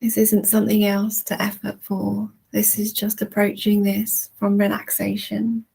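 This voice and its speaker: A woman leading a guided relaxation in a soothing, gentle manner with long, unhurried pauses. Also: mid-range voice, monotone, creaky, breathy edge. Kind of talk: guided relaxation, meditation narration.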